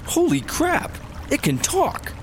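An actor playing an excitable, sarcastic male sidekick in a sci-fi comedy audio drama. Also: extremely high pitch, slow, a little hiss or hum, exaggerated voice. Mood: astonished. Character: excitable, wisecracking male sidekick in squad duo. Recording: music behind the voice.

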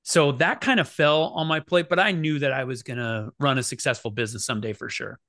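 A man's voice: medium pitch, fairly quick, fairly bright tone, no echo, clean studio sound. A man from Oregon, a business founder, telling stories easily as a guest on a podcast, with some fillers. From Oregon, United States. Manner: easygoing storytelling with fillers. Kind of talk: casual interview chat.

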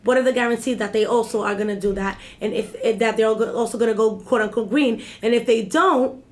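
Woman in her 30s, high voice, keeps saying right? to drive points home. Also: fairly quick. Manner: emphatic, repeats herself with lots of "right?" checks. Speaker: guest, executive director of an activist group. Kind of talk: casual interview conversation.

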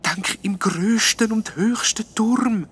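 A storyteller voicing a small, timid, friendly ghost in a breathy half-whisper. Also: mid-range voice, takes it slowly, a bit bright, pitched above the performer's own voice, full character voice. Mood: whispery worried.

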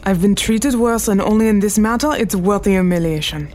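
A woman's voice: medium pitch, a little fast, darkish tone, natural voice. Woman playing a commanding, shrewd crime queen. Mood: stern, proud. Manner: clipped, imperious, decisive. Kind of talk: period radio drama acting.

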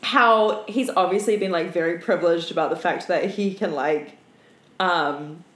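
A woman chatting casually as a podcast co-host, telling stories in an animated, slangy way and often laughing. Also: lowish voice, moderate pace. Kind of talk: casual chat, storytelling. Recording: roomy, echoey room.

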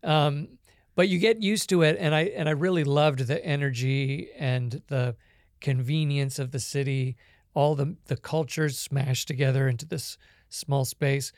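A Canadian man, a podcast guest, telling his life story in a relaxed, reflective way with many small pauses. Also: fairly high pitch, no echo, clean studio sound. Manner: reflective storytelling with frequent ums. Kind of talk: conversational interview.

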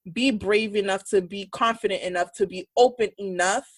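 A woman's voice: medium pitch, slightly rough, no room sound. A young woman co-hosting a podcast, talking openly in casual chat and running her thoughts together. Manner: open, candid, talks in quick run-on bursts. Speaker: podcast co-host.

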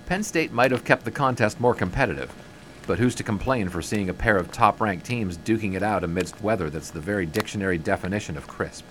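An actor playing an affable, wry American newspaper sports writer of the 1920s in a period audio drama, with jaunty, wordy phrasing. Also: male voice, fairly low pitch, brisk, fairly bright tone, natural voice, poor audio. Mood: wry storytelling.

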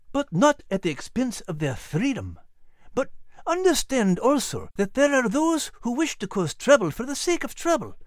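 Old scottish soldier for a storybook, kindly n knowing, voice up high, very sing-song. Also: male voice, dry recording, clean studio sound. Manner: folksy, old-fashioned soldierly phrasing.

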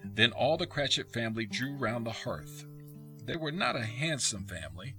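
A man narrating a radio play in a measured, expressive, literary storytelling style. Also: medium pitch, music in the background.

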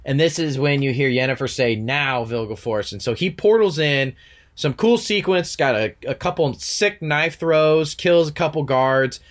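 A man's voice: a little high, moderate pace. Male podcast co-host, congested, casual run-on recap delivery. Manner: rambling, recounts scenes in long run-on stretches. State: stuffy nose.

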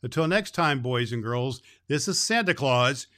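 A man's voice: medium pitch, expressive, measured pace, pushed and bright. A man playing a kindly old Santa Claus who hosts a children's Christmas show, speaking cheerfully and emphatically in a folksy, fatherly way. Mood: warm, encouraging. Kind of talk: storytelling and chat addressed to children.

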